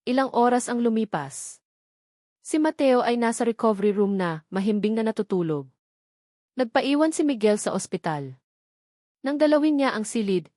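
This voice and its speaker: A woman with a Filipino accent narrates a scripted dramatic story in a measured, solemn way with deliberate pauses. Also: medium pitch, a little mellow, no echo, clean studio sound. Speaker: audiobook narrator.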